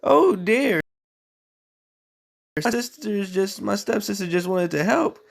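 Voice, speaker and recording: A woman voicing a kind, forgiving young fairy-tale heroine in a gentle, reassuring manner. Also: mid-range voice, expressive, slow pace, vocal fry, heavily acted.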